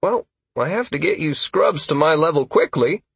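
A man's voice: on the high side, animated, moderate pace, creaky, slightly rough, dry recording, voice pushed up, exaggerated voice. A man playing a wry, cocky male party leader in a fantasy audiobook, with a dry, teasing manner. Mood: smug.

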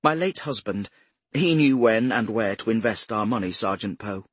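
A man's voice: lowish voice, expressive, moderate pace, husky, no echo. A man narrating an audiobook as a prim, self-righteous older woman with a cultured English accent. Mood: arch, composed. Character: cultured, haughty retired care-home matron, a grandmother.

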